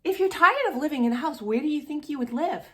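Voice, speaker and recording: A woman hosting a read-aloud storytime for young children, speaking in an animated way as if straight to the child listening. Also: high voice, fast. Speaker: children's storytime host reading a picture book aloud.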